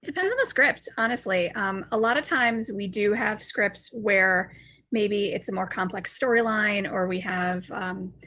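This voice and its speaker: A woman's voice: medium pitch, unhurried, bright, forceful tone. A woman being interviewed as a director, explaining her working process in casual conversation.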